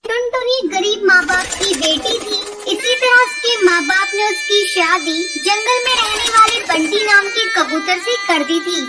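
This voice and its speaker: A woman's voice: very high voice, unhurried, few pauses. A woman reading scripted narration for a children's story as its narrator. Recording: loud music under narration.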